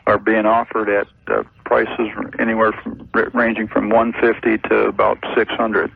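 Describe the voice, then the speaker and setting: Hesitant caller, gravelly, tinny phone line. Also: male voice, lowish voice, flat delivery, dry recording. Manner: hesitant, halting. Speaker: caller to a radio advice show. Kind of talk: casual phone conversation. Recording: tinny phone line on taped radio broadcast.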